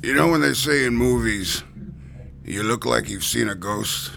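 An actor playing a wary middle-aged stonemason in a radio drama, with a gravelly voice. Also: male voice, mid-range voice, a little slow, fairly bright tone, a little hiss or hum. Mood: amused.

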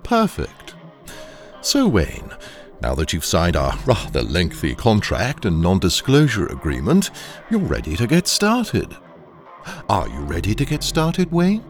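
An actor playing a ruthless corporate training engineer in an audio drama, coaxing and controlling in a deliberate, sinister manner. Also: male voice, low-pitched, wildly swooping pitch, soft background music, heavily acted. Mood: smoothly controlling.

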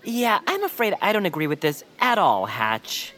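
A man's voice: high voice, very animated, moderate pace, sharp tone, exaggerated voice. A man playing an anxious, cautious young bird sidekick in a family audio drama, hesitant and polite. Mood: worried. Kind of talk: family audio fiction acting.

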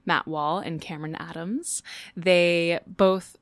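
A young woman with a fairly low, clear and resonant voice, recorded close and dry. She tells a first-person story like a stage performer, animated and gently poking fun at herself. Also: slow, dry recording. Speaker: musical theatre performer, Broadway understudy. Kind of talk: first-person personal storytelling, reading own written account.

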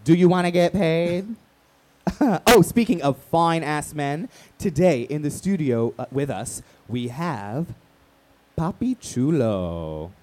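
Flamboyant, swooping radio host. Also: male voice, on the high side, expressive, darkish tone. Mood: showy, promotional. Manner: campy, playful, drawn-out emphasis, teasing. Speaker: radio talk show host. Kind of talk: radio play acting as talk show banter.

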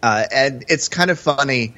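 A man's voice: medium pitch, normal speed, bright, forceful tone. A man co-hosting a podcast, talking about film in a casual, hesitant, musing way. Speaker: podcast co-host. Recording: slightly dull, lo-fi audio.

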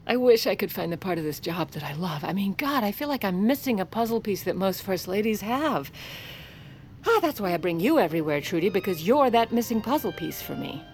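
An actress playing a shrewd, self-assured First Lady, narrating an audio comedy with deadpan, conversational asides. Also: mid-range voice, a little fast, slight background noise, natural voice. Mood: restless. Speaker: narrator and lead character.

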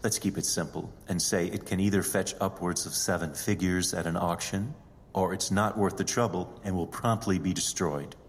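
An actor playing a haughty, secretive rich heir in an audio drama, speaking in formal, deliberate, pompous phrases. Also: male voice, deep voice, fairly even intonation, long reverb tail, slight background noise. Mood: coolly imperious. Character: haughty wealthy male heir, secretive and imperious.

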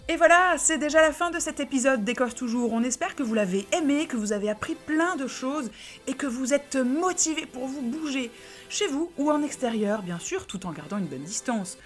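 A woman co-hosting a podcast, chatty and lively, reading announcements in a friendly casual way. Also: high-pitched, normal speed, soft background music. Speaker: podcast co-host. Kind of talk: casual chat and scripted outro.

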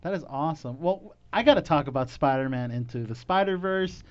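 An enthusiastic man interviews a guest with a fairly high, animated voice that jumps around. He laughs easily and sounds eager. The recording is dull and lo-fi. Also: a little fast. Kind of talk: casual interview.

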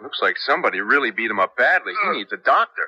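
A man's voice: mid-range voice, big pitch swings, fast talker, bright, forceful tone. An actor playing a determined, assertive young male graduate student in a 1970s radio drama. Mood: urgent. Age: young adult. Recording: dull lo-fi 1970s radio broadcast.

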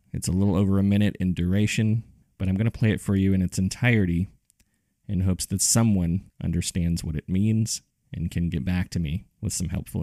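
A man's voice: low voice, dark tone, no room sound, studio quality. A man hosting a podcast, reading scripted narration straight to the listener. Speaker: podcast producer and host.

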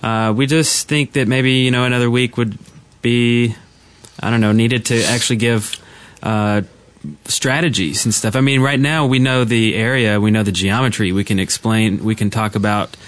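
A man's voice: mid-range voice, steady tone, moderate pace, a bit bright, no echo. A man chatting casually as a podcast co-host. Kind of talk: casual chat. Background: music.

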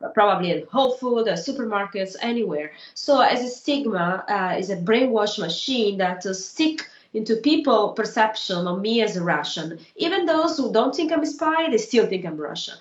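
A woman from Italy with an Italian accent, a guest in a remote interview who speaks in a measured way, pausing often with uh. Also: mid-range voice, expressive, echoey room, lo-fi sound. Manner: measured, with frequent uh pauses.

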